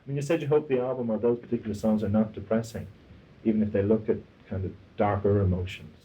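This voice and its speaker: A man's voice: on the low side, fairly even intonation, fast talker, warm tone, poor audio. A man interviewing a musician conversationally, probing and rephrasing his questions as he goes, with some background noise.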